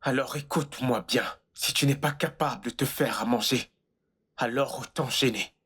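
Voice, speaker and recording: A woman's voice: very deep voice, moderate pace, husky, full character voice. A woman dubbing a stern older brother who lectures his teenage sister. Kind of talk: French dub of a film.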